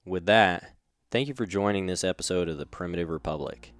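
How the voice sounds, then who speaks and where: A man's voice: low-pitched, a little fast, clear, resonant tone, no room sound, clean studio sound. A man hosting a podcast interview at a trade show, chatting loosely with plenty of fillers. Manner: loose, rambling with many fillers. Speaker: podcast host. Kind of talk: casual interview.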